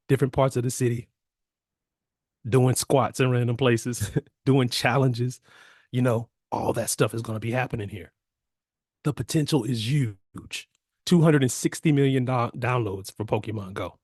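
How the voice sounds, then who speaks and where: A man presenting an investment opportunity on a video call, losing his voice and clearing his throat often. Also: mid-range voice, darkish tone, dry recording, studio quality. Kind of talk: upbeat sales pitch on a video call.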